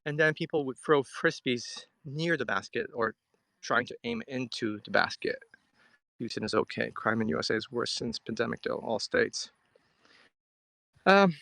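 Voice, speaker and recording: A man from Hong Kong chats casually to his live-stream viewers. His voice is bright and forward with a frequent crackle of fry, and it sounds dry, with very little echo. He muses conversationally as he reads and answers comments. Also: medium pitch, lively intonation, moderate pace. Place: outdoors at a riverside.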